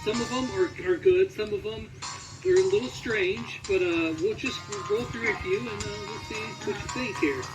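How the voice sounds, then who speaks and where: Guy hosting a casual interview, pretty high voice, music playing behind him. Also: normal speed, low-quality recording. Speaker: interviewer, host.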